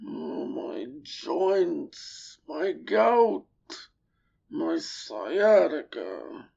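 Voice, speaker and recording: A woman's voice: lowish voice, big pitch swings, gravelly, dark tone, dull audio, exaggerated voice. A woman voicing a proud, grumbling old male camel with aching joints, in a grandiose, complaining manner. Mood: groaning, aching. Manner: grandiose, complaining, drawn-out delivery.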